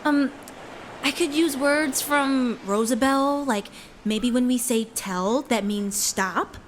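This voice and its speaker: A woman's voice: high voice, takes it slowly, a little hiss or hum. A woman acting a skeptical, wry young sweetheart in a musical theatre drama. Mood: exasperated, wry.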